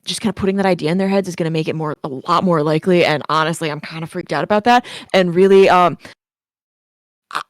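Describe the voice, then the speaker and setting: A woman's voice: lowish voice, dry recording, clean studio sound, natural voice. A woman voicing Dario, an anxious, blunt young male camper who rambles with worry, in a tabletop role-play. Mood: worried. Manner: worried, rambling, quick.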